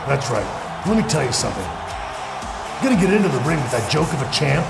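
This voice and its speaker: A man voicing a boastful, aggressive wrestler with a gravelly voice, cutting a forceful promo in a video game. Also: medium pitch, big pitch swings, brisk, over a noisy crowd. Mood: menacing, boastful. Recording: echoey, loud music.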